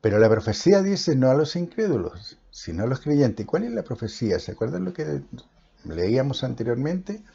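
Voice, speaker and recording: Emphatic preacher man, dark husky voice. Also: medium pitch, very animated. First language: Spanish. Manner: emphatic, declaiming with pauses. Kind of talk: Bible teaching sermon. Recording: dull lo-fi recording.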